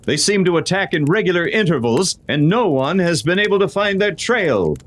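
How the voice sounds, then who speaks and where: A man playing an earnest, courteous masked lawman in an old-time western radio drama, speaking in deliberate, declarative lines. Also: sits high, big pitch swings. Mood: grave, resolute.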